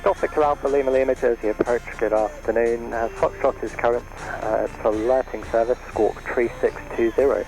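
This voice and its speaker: A man works as an approach controller, heard over aviation radio. He rattles off procedural instructions very quickly in a medium-pitched voice. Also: steady tone, over music, low-quality recording. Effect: aviation radio transmission. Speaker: air traffic controller. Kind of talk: rapid radio procedure talk.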